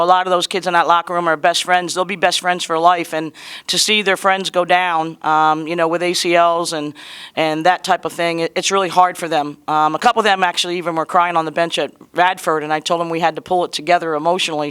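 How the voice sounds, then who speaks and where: Woman coach, postgame presser, earnest and conversational, bright smooth voice with a touch of cold-hoarseness. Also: fairly low pitch. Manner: earnest, conversational, proud of her players. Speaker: basketball head coach.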